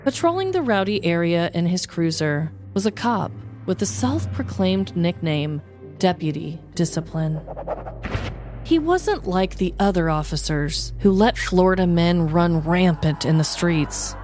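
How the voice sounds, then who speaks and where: Lower-pitched woman hosting a comedy podcast. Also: lively intonation, measured pace, darkish tone, soft background music. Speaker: podcast host. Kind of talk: scripted comedic true-crime narration and casual chat.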